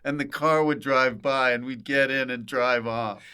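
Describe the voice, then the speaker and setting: A man's voice: medium pitch, fairly even intonation, moderate pace, bright, forceful tone, clean tone. A man hosting a podcast in casual, jokey conversation, informal and playful. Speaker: podcast host. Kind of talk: casual comic podcast chat.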